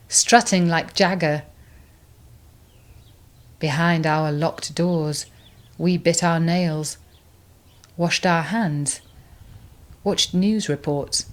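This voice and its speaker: A woman reads poetry aloud. Her voice sits fairly low, and she takes it slowly and with measure, setting down each phrase on its own. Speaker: poetry reader. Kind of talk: poetry recitation.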